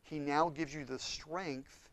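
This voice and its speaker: A man preaching a sermon with impassioned swings between loud and hushed delivery. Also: fairly high pitch, fairly even intonation, takes it slowly. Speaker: pastor.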